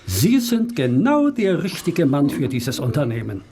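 An actor playing a confident, teasing police inspector in a radio play, with a husky voice and a persuasive manner. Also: male voice, on the high side, huge pitch swings, measured pace, dark tone, slight background noise, full character voice. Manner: assured, persuasive.

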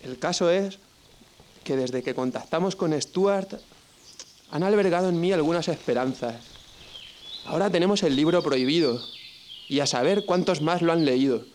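A man's voice: a little high, moderate pace, pauses often, darkish tone, slight background noise, close to an everyday voice. A man from playing an anxious, defiant dissident in a radio drama, earnest and questioning. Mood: earnest, resentful. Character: defiant, anxious male dissident who hates the regime. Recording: long hall reverb, faint music behind.

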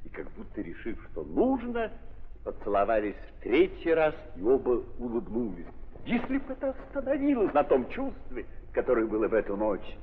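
A man narrating a 1930s audio drama in an emphatic, declamatory theatrical style, with repeated phrases and pauses, on an old recording. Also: medium pitch, huge pitch swings. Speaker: narrator of a staged literary drama. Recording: echoey, noisy, muffled 1930s audio play.

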